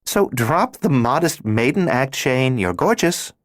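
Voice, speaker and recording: Man doing a brash, loud-mouthed twelve-year-old girl. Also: medium pitch, sing-song, a little slow, dry recording, clean studio sound, voice pushed up. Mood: teasing. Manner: loud, emphatic, mocking.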